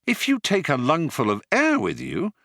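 A man's voice: on the high side, huge pitch swings, normal speed, gravelly, a bit bright, no echo, pitched above the performer's own voice, full character voice. A man voicing a flippant, resigned male alien traveller from a storybook, with a breezy, dry, offhand manner about doom.

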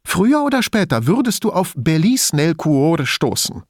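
An agitated woman in an audiobook , acted out by a male reader, pitched high and swinging widely in tone. She is theatrical and defensive, with spite and indignation pressed into emphatic words. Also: big pitch swings, moderate pace, no room sound, studio quality. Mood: scornful, angry. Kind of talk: audiobook character dialogue.

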